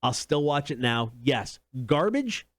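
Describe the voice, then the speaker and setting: Higher-pitched man co-hosting a talk show. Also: sing-song, a little slow, a bit bright, no echo, studio quality. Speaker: show co-host. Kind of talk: casual entertainment talk show banter.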